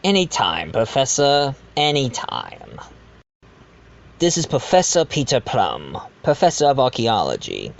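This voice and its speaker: A man plays a genial widowed doctor hosting a mystery dinner in a fan audio drama. He talks slowly with lively swings of pitch, confiding and a bit hesitant. The recording is a little dull and lo-fi. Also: creaky, exaggerated voice. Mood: friendly. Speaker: narrator and host of the dinner party. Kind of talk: fan audio drama acting with narration asides.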